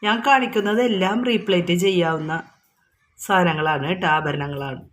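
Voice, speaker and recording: A woman's voice: lowish voice, normal speed. Kerala woman presenting products informally. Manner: unscripted, repetitive, explanatory. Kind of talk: informal product showcase, item by item with prices.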